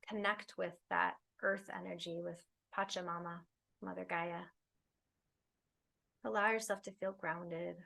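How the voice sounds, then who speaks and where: A woman leading a guided meditation, speaking soothingly in unhurried phrases with long pauses. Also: on the low side, vocal fry, fairly bright tone. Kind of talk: guided meditation, spoken slowly to listeners.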